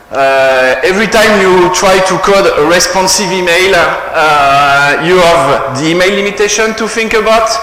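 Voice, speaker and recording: A man's voice: sits high, bright, forceful tone. A young man from France with a French accent, giving a technical conference talk with many fillers and restarts. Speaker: conference speaker. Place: conference hall. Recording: echoey hall, faint room noise.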